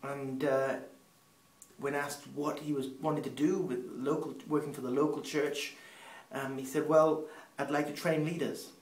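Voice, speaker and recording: Man, 30s, English accent tinged by Peruvian Spanish, measured testimony, echoey room. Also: fairly high pitch, level tone. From Peru. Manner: unhurried, pausing, with restarts. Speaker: speaker sharing family testimony. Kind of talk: personal faith storytelling. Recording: roomy sound from a distant mic.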